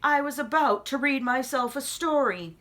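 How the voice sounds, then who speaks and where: A woman's voice: high voice, normal speed, a bit bright, voice pushed up. A woman voicing a polite, fussy male rabbit in a storybook, prim and put-upon. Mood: reluctant, put-upon. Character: polite, reluctant, fussy male rabbit who finally snaps.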